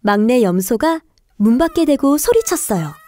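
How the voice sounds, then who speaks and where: Female fairy-tale narrator for kids: high pitch, mellow timbre, clear scripted storytelling. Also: big pitch swings, moderate pace, no room sound. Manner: clear storytelling to young children. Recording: faint music behind the voice.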